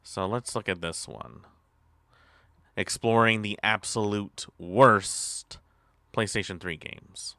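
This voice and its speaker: A man streaming and chatting casually as he reacts to videos, with halting, drawn-out phrasing. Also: lowish voice, sharp tone, no room sound.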